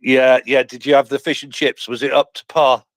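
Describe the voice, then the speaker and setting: A man's voice: mid-range voice, level tone, normal speed, no echo. A man with a Midlands accent chatting casually and jokily on a live audio space. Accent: Midlands English. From English Midlands. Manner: chatty, jokey, many fillers. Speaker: panelist.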